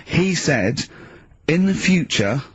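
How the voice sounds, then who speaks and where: A man with a husky voice tells an anecdote as casual radio banter. The sound is close and dry, a bit dull like an old radio recording. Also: on the high side, big pitch swings, slow pace, no room sound. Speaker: radio show host.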